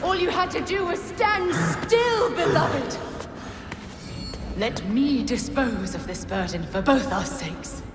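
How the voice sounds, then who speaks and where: Actress doing a haughty evil mastermind lady from a video game, slow n deliberate, big echoey reverb. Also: mid-range voice, expressive, natural voice. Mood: scornful. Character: imperious, scheming female villain, cold and zealous. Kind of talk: dramatic video game cutscene acting. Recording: echoey hall reverb with music.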